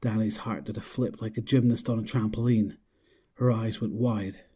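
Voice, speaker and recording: A man's voice: fairly low pitch, steady tone, moderate pace, mellow, slightly rough. A man narrating a scripted horror drama in a dramatic storytelling style.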